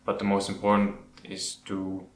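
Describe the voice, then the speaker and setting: Low, even-voiced Dutch man in echoey room. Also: moderate pace. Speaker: host of an aquascaping channel. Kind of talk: casual question-and-answer talk to camera. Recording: roomy echo with background noise.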